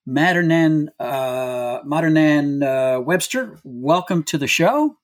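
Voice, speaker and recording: A man hosting a podcast, talking in a relaxed, chatty way with frequent fillers. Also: on the high side, slow. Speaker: podcast host. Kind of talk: podcast conversation.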